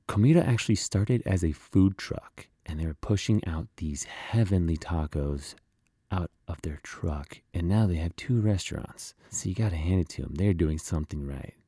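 A man with a low voice and a soft, dark tone hosts a casual podcast. The sound is close and dry, with very little room on it. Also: moderate pace, slightly rough, no echo. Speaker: podcast host.

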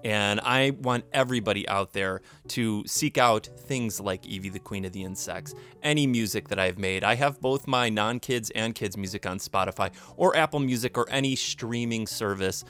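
A man's voice: on the low side, moderate pace, few pauses, fairly bright tone, soft background music. A man hosting a children's comedy podcast, moving between storytelling and casual chat.

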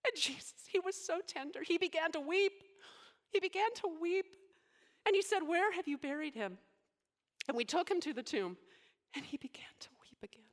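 A devoted, deeply moved young woman from scripture, performed by a woman. Her voice sits high and tender, and she falters and falls into hushed whispers as she speaks. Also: expressive. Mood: overwhelmed, reverent. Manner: emotional, halting, drops to soft whispers. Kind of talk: dramatic monologue in character.

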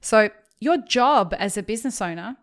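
A woman hosting a podcast, quickly summing up points and encouraging her guest. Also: fairly high pitch, expressive. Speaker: podcast host. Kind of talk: casual podcast interview.

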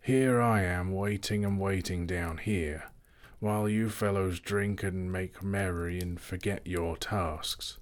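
A man's voice: deep voice, steady tone, slow. A man voicing a cross, drowsy old male elf butler who grumbles and growls in a storybook reading. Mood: grumbling.

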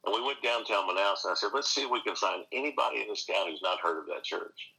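Deep-voiced man with a bright, husky edge. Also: fast talker, poor audio. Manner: earnest, many restarts, animated emphasis. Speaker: pastor and seminary teacher. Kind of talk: conversational interview answers.

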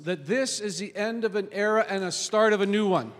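A man leading a prophetic prayer in a church, pausing after each phrase for an interpreter. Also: high voice. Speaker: guest preacher. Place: church hall. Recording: long hall reverb.

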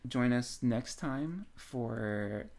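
Male podcast co-host, mid-pitched voice, informal and geeky, loose rambling delivery. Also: drawn-out words, darkish tone. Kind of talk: casual book discussion chat.

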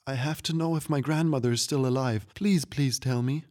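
An earnest young witch girl from a storybook, as a male narrator puts her on. Her pitch sits well above a man's normal talk. She is courteous and hesitant, with a pleading edge. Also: brisk, a little mellow, close to an everyday voice. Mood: nervous, pleading.

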